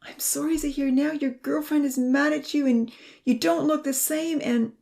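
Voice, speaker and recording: A woman voicing a remorseful injured friend, soft and breathy, plaintive and self-blaming. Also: high-pitched, fairly even intonation, moderate pace, pitched above the performer's own voice. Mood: tearful, guilty. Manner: plaintive, begging.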